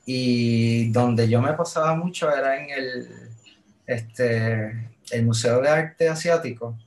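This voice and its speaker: A man's voice: mid-range voice, takes it slowly. Hesitant Puerto Rican man, echoey room. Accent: Puerto Rican Spanish. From Puerto Rico. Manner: hesitant, many fillers and restarts. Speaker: guest. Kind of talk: casual conversation. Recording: roomy echo.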